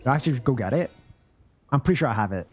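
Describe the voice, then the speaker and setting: Low-voiced chatty woman game streamer. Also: animated, rapid-fire, a little mellow, slightly rough. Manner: chatty, thinking aloud in questions. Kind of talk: casual live commentary while playing.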